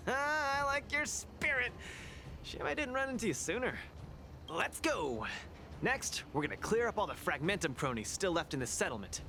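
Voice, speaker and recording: An actor playing a cocky, good-hearted young fighter in an anime-style video game, breezy, boastful and eager. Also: male voice, high voice, big pitch swings, moderate pace, pushed and bright, a little hiss or hum, exaggerated voice. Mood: eager, confident.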